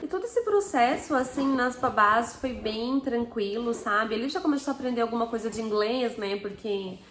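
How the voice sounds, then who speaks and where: A woman's voice: on the high side, lively intonation, normal speed, fairly bright tone, reverberant, a little hiss or hum. A Brazilian woman in her thirties with a Brazilian Portuguese accent, telling a personal story to camera in a chatty, casual way, often ending with little tag questions. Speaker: vlogger.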